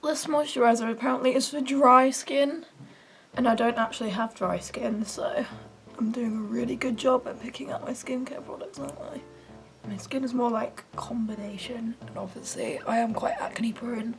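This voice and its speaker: A young woman with a croaky voice, chatting casually through her makeup routine in her bedroom, rambling and self-deprecating. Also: on the high side, steady tone, normal speed. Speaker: beauty vlogger. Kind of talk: casual get-ready-with-me chat.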